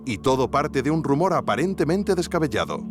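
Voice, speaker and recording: A man's voice: on the high side, big pitch swings, fairly quick, music in the background. A man narrating a scripted investigative podcast, theatrical and speaking straight to the listener. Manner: theatrical, addressing the listener directly.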